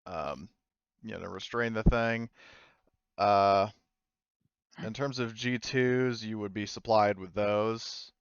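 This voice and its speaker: A man's voice: on the low side, slow pace, pauses often, smooth, no echo. A man playing a matter-of-fact agent in a tabletop roleplay, explaining things in a hedging way, on a dull recording. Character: matter-of-fact male agent advising on supplies.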